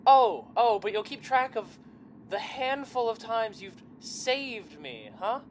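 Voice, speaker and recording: Audio drama role, played by a woman: wounded young friend, confrontational, bitterly accusing, halting, lively pitch movement. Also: slight background noise. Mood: bitter, furious.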